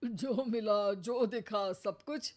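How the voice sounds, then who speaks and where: A woman acts out an elderly father-in-law in a comic family cartoon. He talks slowly in a wry, teasing way. His delivery is sarcastic and mocking. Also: medium pitch, darkish tone, lightly acted.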